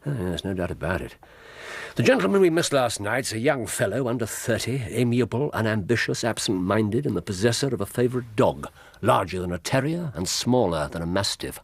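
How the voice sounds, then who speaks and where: An actor playing a brilliant, self-assured British detective with a husky voice and a dryly teasing, precise manner, in a radio drama. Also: male voice, lowish voice, very animated, fairly quick, dry recording, full character voice. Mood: confident deduction. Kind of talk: naturalistic radio drama dialogue.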